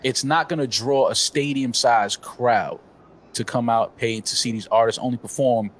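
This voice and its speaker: A man co-hosting a talk show, giving opinions in a measured, filler-heavy way. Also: medium pitch. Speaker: podcast co-host. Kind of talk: casual chat.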